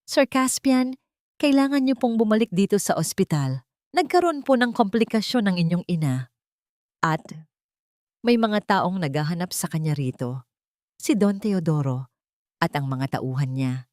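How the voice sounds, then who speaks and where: A woman's voice: on the low side, animated, unhurried, pauses often, a little mellow, no room sound, studio quality. A woman voicing an anxious, deferential hospital caller who speaks politely and urgently in a narrated drama. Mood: worried.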